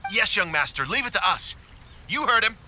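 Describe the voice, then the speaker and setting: An actor playing a loyal, brisk guild guard in a video game, giving orders to his men. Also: high voice, big pitch swings, pushed and bright, slight background noise, heavily acted. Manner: brisk, commanding to underlings.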